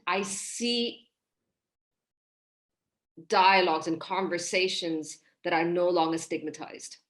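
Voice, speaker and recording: A woman in her thirties from Hong Kong, a relationship coach talking in a casual podcast interview over a video call, expressive and gesturing as she speaks. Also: on the low side, slow. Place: small room indoors.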